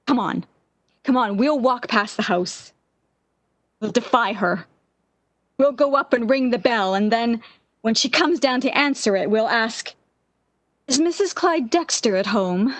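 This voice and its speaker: A woman's voice: on the high side, animated, takes it slowly, long pauses, no echo. An actress playing a lovesick, obsessive jilted young woman in the impassioned style of 1940s radio drama. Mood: defiant, distraught. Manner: melodramatic, yearning, impassioned.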